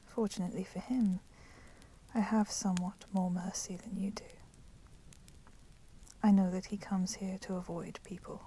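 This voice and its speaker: A woman playing a polite but menacing vampire in a whispered ASMR roleplay, speaking softly and formally. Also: fairly low pitch, slight background noise, poor audio. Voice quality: breathy, soft, often whispering. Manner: slow, deliberate, formally courteous. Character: polite, menacing female vampire, proud and merciful.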